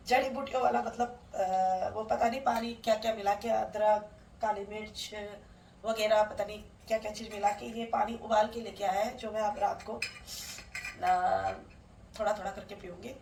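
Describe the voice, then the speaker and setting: A woman's voice: mid-range voice, vocal fry, darkish tone, slight background noise. Middle-aged woman vlogger with a sore throat and a cold, chatty and homely, recorded from a distance in an echoey room at home. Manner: chatty, homely, addresses viewers as friends. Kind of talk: casual home vlog talk to viewers. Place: at home, indoors. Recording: roomy sound from a distant mic.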